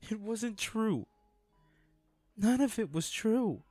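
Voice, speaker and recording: A man's voice: high voice, animated, takes it slowly, dark tone, no room sound, soft background music, exaggerated voice. A man playing a devoted, guilt-ridden boyfriend in an intimate audio roleplay, speaking in a pleading, tender, apologetic way. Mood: emotional, apologetic.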